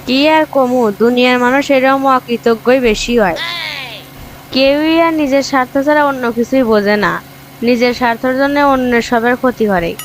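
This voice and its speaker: Female actor as young cartoon businesswoman, hurt and reproachful, high register, music bed. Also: brisk, slight background noise, natural voice, rough recording. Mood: dejected. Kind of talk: comic cartoon dialogue.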